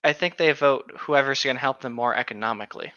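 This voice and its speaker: Bright-voiced man, dull lo-fi sound. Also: medium pitch, fast, no room sound. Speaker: co-host of a reading podcast. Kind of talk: casual chat with anecdotes.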